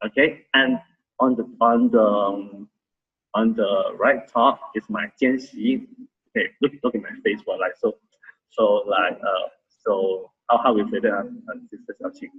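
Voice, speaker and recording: A man in his thirties with a Malaysian accent, sharing his story as a volunteer in a halting way with many restarts. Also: medium pitch, slightly rough, phone-quality sound. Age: 30s. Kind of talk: casual testimony sharing.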